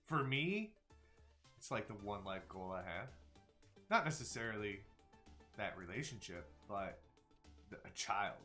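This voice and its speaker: A man's voice: on the low side, big pitch swings, unhurried, pauses often, pushed and bright. A man in his forties hosting a live stream, talking candidly and reflectively in casual chat, often trailing off and restarting. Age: 40s. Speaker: stream host. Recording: faint music behind.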